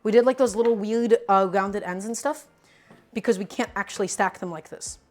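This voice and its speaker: A woman's voice: mid-range voice, moderate pace, a little mellow. A woman in her twenties hosting a casual cooking livestream, teasing her viewers and explaining each step while she chops. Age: 20s. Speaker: cooking streamer.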